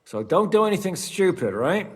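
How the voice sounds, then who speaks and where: An actor playing a practical, plain-spoken leader of a medieval band in a naturalistic game cutscene. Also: male voice, sits high, sing-song, normal speed, slightly rough, full character voice. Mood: brisk, instructing. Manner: blunt, matter-of-fact.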